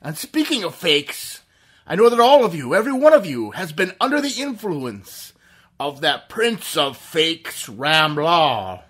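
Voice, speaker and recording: A man's voice: a little high, big pitch swings, measured pace, pushed and bright, breathy edge, full character voice. A man playing a mysterious, invisible male avenger who speaks as a disembodied voice, in a theatrical, mocking tone, in a 1930s-style radio drama. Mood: ominous.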